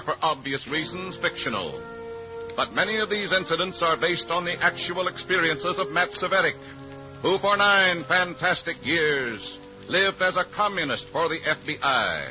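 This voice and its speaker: A man announcing a radio drama in a dramatic 1950s style. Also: fairly high pitch. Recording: muffled 1950s radio recording with music.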